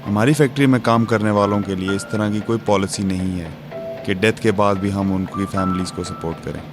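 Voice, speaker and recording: Man as factory owner, lowish voice, formal, curt, dismissive, radio drama, music behind. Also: steady tone, a little fast, lightly acted. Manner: formal, businesslike, cool.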